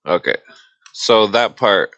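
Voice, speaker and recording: A man hosts a casual livestream with a sharp, forceful edge to his fairly low voice. He talks haltingly and informally, circling back on his words and swearing freely. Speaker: livestream host.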